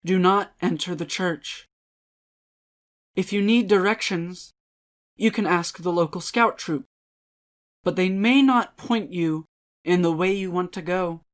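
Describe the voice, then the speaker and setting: A woman giving a scripted spooky dramatic reading, ominous and deliberate, with measured dramatic pauses. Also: lowish voice. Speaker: dramatic reader.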